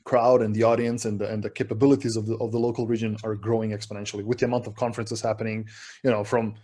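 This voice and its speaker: A man from Serbia, a relaxed, chatty conference speaker giving a talk over a video call. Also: on the low side, rapid-fire. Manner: relaxed, chatty, self-deprecating.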